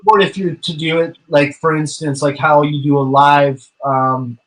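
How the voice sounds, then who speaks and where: A man's voice: a little high, level tone, measured pace, fairly bright tone, low-quality recording. A man chatting casually as an interview guest, rambling with many fillers and the odd curse. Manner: rambling, frequent fillers and swearing. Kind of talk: casual chat.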